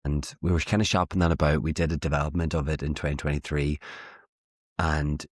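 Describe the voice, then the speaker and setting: Very deep, husky young Irish man. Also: level tone, brisk, dry recording, clean studio sound. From Ireland. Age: late 20s. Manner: wry, self-deprecating. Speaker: interview guest, playwright. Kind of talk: casual conversational interview.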